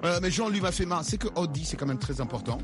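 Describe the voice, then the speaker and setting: A man hosting a radio talk show, lively and chatty, with many repeated fillers. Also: on the high side, quick pace. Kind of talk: casual on-air chat. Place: radio studio. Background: music.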